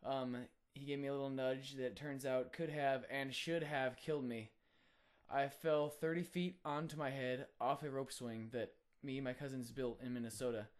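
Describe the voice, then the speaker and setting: A young man, a college student, sharing a personal faith testimony in an earnest, reflective way with frequent filler pauses. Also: mid-range voice, steady tone. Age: young adult.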